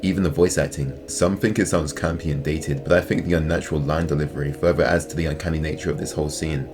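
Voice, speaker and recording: A man's voice: extremely low pitch, steady tone, quick pace. A man reading scripted, analytical narration as the host of a video essay. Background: music.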